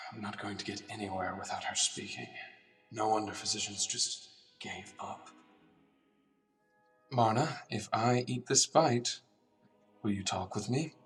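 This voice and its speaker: An earnest, awkward doctor played by a man in a radio drama speaks in a low voice, a little away from the mic. He is hesitant and reflective, gently apologetic, with music behind him. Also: a little slow, pauses often. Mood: gentle, awkward. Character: earnest, awkward male doctor gently questioning a withdrawn patient. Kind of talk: radio drama acting with inner monologue. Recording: distant mic, music underneath.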